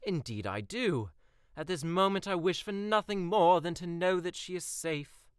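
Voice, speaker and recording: An actor playing a pompous, self-assured young prince who talks in theatrical, flowery formal language in an audio drama. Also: male voice, high-pitched, animated, moderate pace, clean tone, no room sound, clean studio sound. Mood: earnest. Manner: archaic, flowery formal diction.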